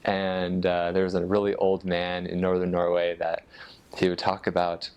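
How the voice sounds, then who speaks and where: American male, deep register, informal explainer, somewhat halting delivery as he thinks aloud. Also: completely flat delivery, darkish tone, clean tone. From United States. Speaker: former missionary explaining Norwegian dialects. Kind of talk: casual explanatory talk.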